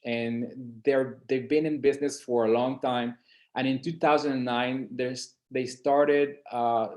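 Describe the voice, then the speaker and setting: A man's voice: medium pitch, darkish tone. A middle-aged man from Colombia's San Andres islands giving an informal webinar presentation, hesitant, with many fillers and restarts. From San Andres and Providence, Colombia.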